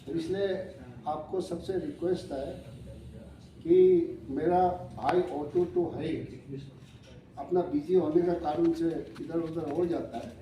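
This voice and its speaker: A man in his seventies, chairing a meeting and addressing the committee in a halting way, pausing and correcting himself. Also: fairly high pitch, fairly even intonation, mellow. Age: 70s. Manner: halting and unhurried, with pauses and self-corrections. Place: hotel meeting hall. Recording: echoey hall, mic far off, faint room noise.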